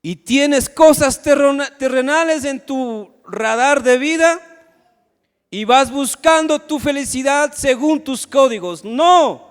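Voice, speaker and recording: A man's voice: extremely high pitch, slow pace. A man from Ecuador, preaching a sermon as pastor and firing rhetorical questions at the congregation. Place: church sanctuary. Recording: long hall reverb.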